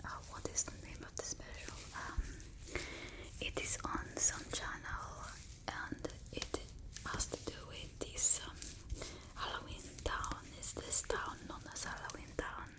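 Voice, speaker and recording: A woman voicing a haughty, fussy vampire mistress in a breathy ASMR roleplay, with an imperious, complaining manner. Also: lightly acted, low-quality recording. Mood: put-upon. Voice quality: soft, breathy whisper. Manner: slow, imperious, fussy complaining with drawn-out words. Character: haughty, fussy female vampire mistress scolding her human familiar. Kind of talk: ASMR roleplay, mostly whispered.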